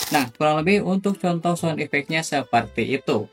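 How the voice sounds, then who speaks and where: A man's voice: fairly high pitch, expressive, moderate pace. A man narrating a casual, scripted tutorial as its host. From Indonesia, Sunda region. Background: background music.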